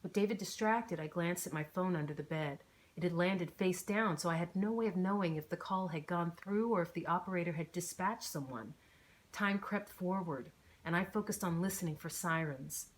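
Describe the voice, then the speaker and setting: A woman's voice: on the low side, normal speed, rough recording. A woman author reading scripted first-person narration from her novel as an audiobook.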